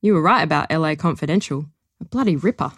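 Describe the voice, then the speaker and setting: A woman reading aloud as a gruff, smug older man who grumbles in a dry, stony way. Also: low voice, lively intonation, fast, no echo, heavily acted. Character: gruff old bookish man, blunt and smug.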